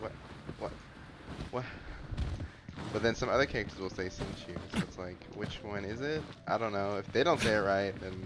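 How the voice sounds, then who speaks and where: Low-voiced man muttering casual game commentary. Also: background noise. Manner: offhand, muttering to himself, teasing. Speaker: gaming content creator. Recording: game music behind the voice.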